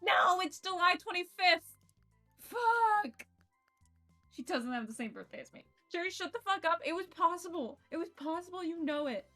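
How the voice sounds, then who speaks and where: A woman streamer reading visual novel narration aloud in an expressive way, with casual, sweary reactions. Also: extremely high pitch, moderate pace. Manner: expressive, self-interrupting, swears freely. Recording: game music behind the voice. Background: game music.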